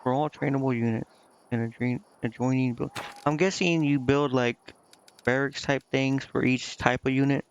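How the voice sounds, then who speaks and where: A man's voice: mid-range voice, fairly even intonation, moderate pace, clear, resonant tone, highs cut off. A man livestreaming a game, thinking aloud in a casual, muttering way as he puzzles things out. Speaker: livestreamer playing a strategy role-playing game. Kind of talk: casual gaming stream commentary, thinking aloud. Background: game music.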